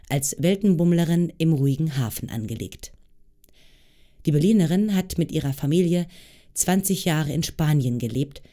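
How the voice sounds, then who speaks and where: A podcast host reading a scripted introduction in a measured, even way. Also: medium pitch. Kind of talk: scripted narration.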